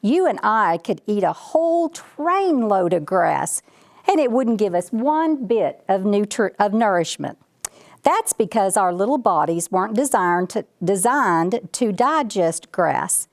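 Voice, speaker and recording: Woman reading as boastful folksy ranch dog, lively pitch. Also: measured pace. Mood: folksy explaining. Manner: bragging, chatty, addressing the listener directly. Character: boastful, folksy male ranch dog, self-important security chief. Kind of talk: children's storybook read-aloud.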